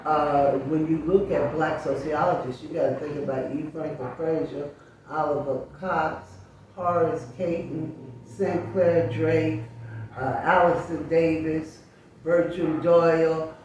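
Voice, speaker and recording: An older woman in her seventies or beyond, speaking as an interview guest in a reflective, emphatic and deliberate storytelling way. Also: low voice, steady tone, darkish tone, lo-fi sound. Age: 70s or older. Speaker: interview guest, sociologist and teacher. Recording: very echoey room, distant mic, faint noise.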